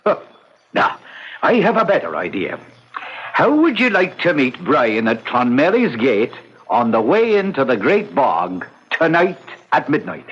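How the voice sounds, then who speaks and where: Swooping sly old Irish ghost. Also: male voice, medium pitch, huge pitch swings, a little slow, fairly bright tone. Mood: sly, coaxing. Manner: wheedling, repeats words, impatient. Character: sly old Irish banshee uncle, a chatty portrait ghost. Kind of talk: radio drama acting. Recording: noisy dull old radio recording.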